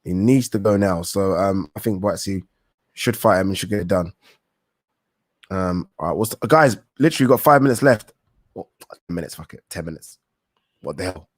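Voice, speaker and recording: A man's voice: deep voice, normal speed, pauses often, dry recording, clean studio sound. A young man hosting a live boxing talk stream, reading viewer questions aloud and answering in a rambling, thinking-aloud way with casual swearing. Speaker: boxing talk show host.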